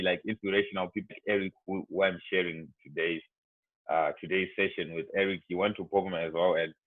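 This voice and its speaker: A man's voice: sits low, dry recording. A young man from Zimbabwe with a Zimbabwean accent, speaking casually to students on a video call and filling his talk with "like" and "you know". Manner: rambling, heavy on "like" and "you know". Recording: tinny, dry video-call audio.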